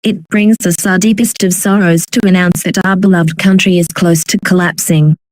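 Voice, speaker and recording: A woman's voice: on the low side, fairly even intonation, moderate pace, a little mellow, poor audio. A woman reading a scripted, formal emergency broadcast announcement.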